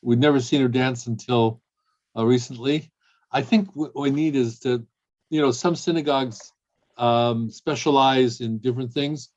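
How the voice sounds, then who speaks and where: A man's voice: mid-range voice, steady tone, moderate pace, a little mellow. A man leading a service over a video call, playful and hesitant with many ums. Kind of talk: casual service hosting. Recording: dull, lo-fi call audio.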